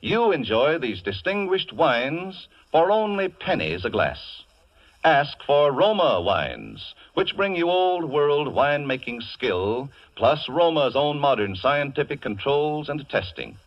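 A man's voice: on the high side, sing-song, slow pace, dry recording. A man announcing a radio commercial in polished, emphatic 1940s advertising style. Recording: muffled vintage radio broadcast.

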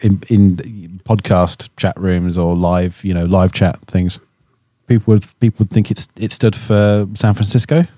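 A man's voice: low-pitched, fairly even intonation, unhurried, mellow, dry recording, clean studio sound. A man co-hosting a podcast, chatting casually in a rambling, joking way. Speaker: podcast co-host, musician. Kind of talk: casual chat.

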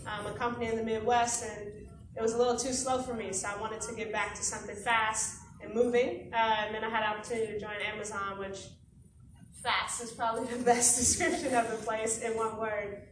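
A woman's voice: fairly high pitch, little pitch movement, brisk. A woman in her thirties with an American accent, answering conversationally as a guest in a panel interview. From Chicago, United States. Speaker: panel guest, company employee and founder. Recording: roomy echo, distant mic, noticeable background noise.

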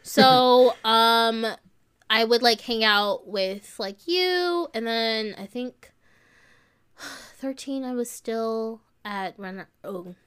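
High-voiced young woman, casual joking chat. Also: expressive, drawn-out words, fairly bright tone, clean tone. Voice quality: high childlike voice. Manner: glib, joking, swears casually. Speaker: podcast guest.

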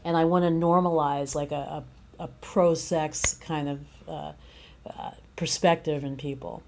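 A woman with a slightly low-pitched voice talks as a guest in an interview. The delivery is reflective and earnest, building ideas out into long, considered thoughts. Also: steady tone, a little slow, a little mellow, a little hiss or hum. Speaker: guest playwright discussing their work; teacher.

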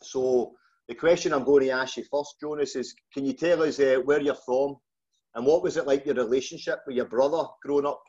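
A Scottish man with a Glasgow accent chats as an interview host. He is informal and matey, with a husky edge to his mid-pitched voice. The sound is a little dull and lo-fi. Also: fairly even intonation, a little fast, a little mellow. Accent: Glaswegian Scottish. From Scotland, just outside Glasgow. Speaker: podcast host. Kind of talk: casual interview chat. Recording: dull, lo-fi call audio.

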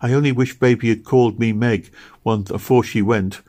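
A deep-voiced man putting on a heartbroken little girl, pitched well up from his own voice, pleading and tearful, storybook reading. Her words come earnest and raised, caught in grief. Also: fairly even intonation, normal speed, a little mellow, natural voice. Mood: sad. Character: grieving poor little girl caring for siblings.

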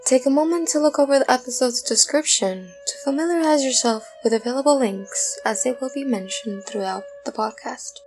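A woman reading a scripted podcast intro in a smooth announcer manner. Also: high voice, brisk, hardly any pauses, darkish tone. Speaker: show announcer. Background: music.

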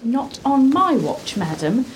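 A woman playing a caring, bossy medieval maidservant in an audio drama, speaking in a brisk, fussing, firm manner. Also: sits high, very animated, a little hiss or hum, exaggerated voice. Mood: concerned, fussing.

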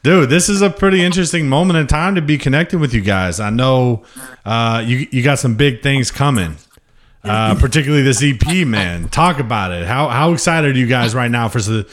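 A man hosting a podcast, interviewing a band in a relaxed, casual way and calling them "dude" and "man". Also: medium pitch, brisk. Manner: laid-back, says "dude" and "man" a lot. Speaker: podcast host. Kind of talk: casual interview over a video call.

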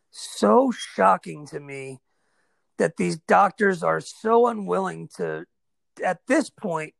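An American man hosting a casual interview podcast. He has a gravelly edge with a dry, close sound, and talks in a friendly, emphatic way. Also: high voice, fairly bright tone, no echo. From United States. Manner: friendly, emphatic, says "man" and "brother" a lot. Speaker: podcast host.

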